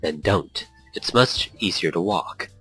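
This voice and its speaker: Man voicing a calm, low old shopkeeper. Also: takes it slowly, slightly rough, soft background music, exaggerated voice, rough recording. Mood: wise, reflective. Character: calm, philosophical old male shopkeeper near seventy.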